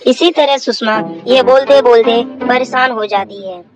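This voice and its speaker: Higher-pitched woman narrating a children's story. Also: unhurried, over music.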